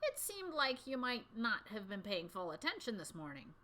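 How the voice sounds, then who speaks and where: A woman voicing a kindly, curious male fourth grade teacher in a storybook, with patient, gentle questions. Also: on the high side, big pitch swings, normal speed, pitched above the performer's own voice. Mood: questioning.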